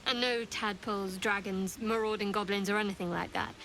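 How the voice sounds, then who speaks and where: Woman playing young cleric, medium pitch, soft, reserved, teasing, wry, intimate video game acting. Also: fairly even intonation, a little fast, a little hiss or hum, natural voice. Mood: guarded, confiding. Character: guarded, wry young female cleric warming to romance.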